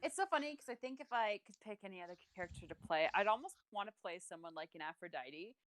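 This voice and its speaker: Chatty, bright-voiced woman. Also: medium pitch, lively intonation, quick pace. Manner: chatty, rambling, lots of fillers. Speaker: voice actor guest. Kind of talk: casual chat.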